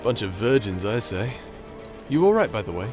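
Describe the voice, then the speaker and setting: A man's voice: fairly low pitch, very animated, moderate pace, over music. A man playing an irreverent, sly rogue companion in an ASMR audio roleplay, with a casual, wry way of talking.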